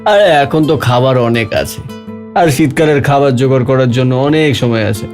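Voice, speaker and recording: A man voicing a lazy, carefree, mocking grasshopper in a children's cartoon story, with a drawn-out, theatrical delivery. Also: mid-range voice, a little mellow, faint music behind, natural voice. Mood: dismissive.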